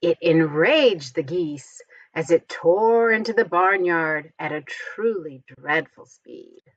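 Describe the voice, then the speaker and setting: A woman reading a storybook aloud to young children, expressively and with deliberate, careful enunciation. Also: fairly low pitch, expressive, no echo, dull audio. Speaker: storybook reader.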